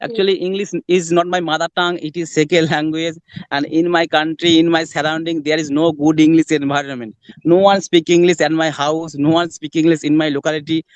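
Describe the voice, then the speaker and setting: Man, Bengali accent, native Bengali, polite formal host, crisp, dry close sound. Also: fairly high pitch, flat delivery, a little fast, dry recording. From Bangladesh. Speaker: conversation practice host. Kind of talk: practice conversation.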